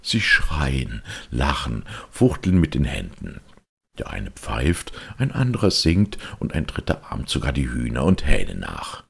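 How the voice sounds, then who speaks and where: A very deep-voiced middle-aged man narrates a children's story. His voice is gravelly and husky, and his delivery swings widely in pitch at an unhurried pace. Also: sing-song, a bit bright. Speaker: audiobook narrator. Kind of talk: expressive scripted storytelling for children.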